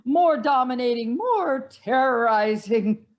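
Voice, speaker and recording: A woman acts out an aging society matron from a stage comedy, proud and jealous of the young. Her voice sits fairly high and swings widely. She is emphatic, imperious and grandly indignant. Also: animated, takes it slowly. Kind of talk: stage comedy acting, one-act play.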